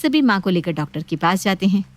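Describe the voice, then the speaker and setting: A woman's voice: mid-range voice, lively intonation, fast, no echo. A woman reading scripted story narration as a storyteller.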